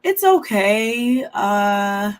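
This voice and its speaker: A woman co-hosting a reality TV recap. She is a little under the weather and talks in a relaxed, halting way with many fillers. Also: mid-range voice. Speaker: co-host of a reality TV recap show. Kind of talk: casual chat reviewing looks.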